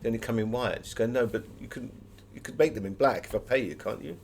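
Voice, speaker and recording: A man's voice: mid-range voice, quick pace, darkish tone, slight background noise. A man giving conversational interview answers as an author, full of anecdotes.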